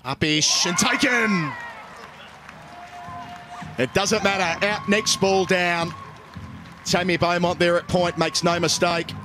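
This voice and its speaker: A man's voice: sits high, takes it slowly, long pauses, over music. A man giving live cricket commentary on a match.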